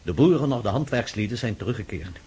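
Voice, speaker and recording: An actor in a radio play voicing a deferential, dutiful military aide who reports formally and soberly to his emperor in a husky voice. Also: fairly low pitch, lively intonation, quick pace, dark tone, heavily acted. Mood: grave, reporting. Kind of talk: radio drama acting.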